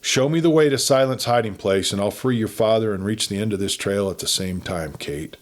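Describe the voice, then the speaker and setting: A man's voice: on the low side, fairly quick, close to an everyday voice. A man plays a brooding young cowboy in a western storybook. He is a half-wild wanderer who speaks in terse, heavy lines. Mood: hopeful.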